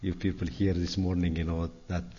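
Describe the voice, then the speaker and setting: An older man from Ethiopia with an Ethiopian accent, giving an informal, halting, conversational talk as a guest church speaker. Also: sits low, level tone, mellow. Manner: halting, conversational, frequent "you know". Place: large indoor church sanctuary. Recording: reverberant church hall, dull sound.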